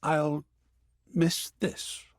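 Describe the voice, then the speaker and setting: An actor playing a flamboyant, gushing, art-obsessed living artwork in a video game, with a theatrical, affected delivery that savours each word. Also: male voice, a little high, level tone, drawn-out words, dry recording, studio quality. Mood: wistful.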